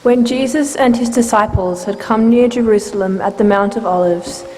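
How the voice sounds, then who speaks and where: A woman's voice: medium pitch, moderate pace, dark tone. A woman giving a formal, scripted narration of a scripture story. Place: large church, live reading. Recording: reverberant church hall, faint noise.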